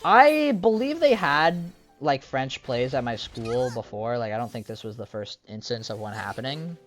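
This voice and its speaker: A young woman with a very deep voice rambles casually over gameplay, hedging and restarting as she talks. Her pitch swings widely from low mutters up to sudden high bursts, while soft music plays behind her. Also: expressive, moderate pace. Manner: rambling, self-deprecating, with frequent fillers and restarts. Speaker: gaming streamer.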